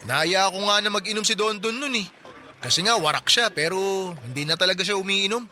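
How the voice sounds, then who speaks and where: A helpful, talkative neighbor in his twenties for a radio drama. His voice sits high and rings very bright. He teases easily, and soft music plays underneath. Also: a little fast. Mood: friendly chatty. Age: 20s. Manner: chatty, joking, helpful. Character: friendly chatty young working-class neighbor man. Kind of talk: Tagalog radio drama acting.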